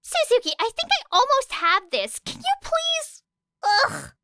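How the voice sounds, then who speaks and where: A woman voicing an excitable, dramatic teenage schoolgirl in a story. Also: very high-pitched, unhurried, slight background noise, voice pushed up, exaggerated voice. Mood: frustrated, whiny. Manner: exclaiming, dramatic. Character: excitable, bubbly, whiny teenage girl student.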